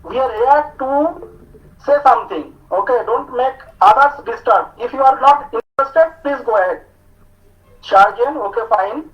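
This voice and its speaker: A man with an Indian English accent giving an online talk to students, speaking slowly with many hesitations as he reads out their answers. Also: extremely high pitch, lively intonation. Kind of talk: online lecture with student chat. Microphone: video call. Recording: muffled video call audio.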